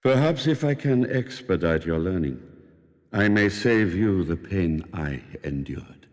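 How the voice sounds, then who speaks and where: An old telepathic stranger in a radio drama. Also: male voice, low-pitched, lively intonation, in a large hall. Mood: solemn. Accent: foreign accent. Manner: slow, measured, formal speech. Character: old mysterious telepathic man, calm and sorrowful.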